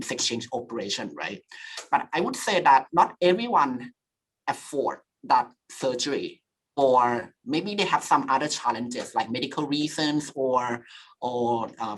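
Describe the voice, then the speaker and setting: A woman's voice: a bass voice, a little hiss or hum, rough recording. A woman from Thailand speaking on a webinar panel over a video call, searching for words and filling with "you know" and "like".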